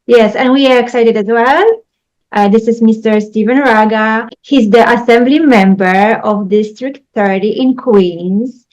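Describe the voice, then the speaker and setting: A woman's voice: a little high, measured pace, darkish tone. A woman from Poland whose first language is Polish, hosting a podcast interview politely and formally. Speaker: podcast host. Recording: dull lo-fi sound.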